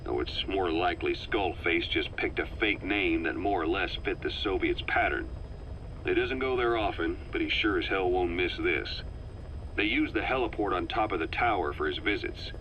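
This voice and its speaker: An actor playing a serious military intelligence officer in a video game, delivering a measured, explanatory briefing. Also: male voice, low-pitched. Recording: dull lo-fi tape, faint noise.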